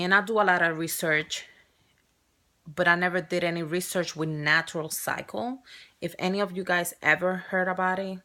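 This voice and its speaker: A woman's voice: on the low side, fairly bright tone. A woman in her thirties with a strong Spanish accent, talking openly and chattily in a personal vlog, often restarting and correcting herself. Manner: chatty and open, with frequent restarts and self-corrections. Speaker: vlogger.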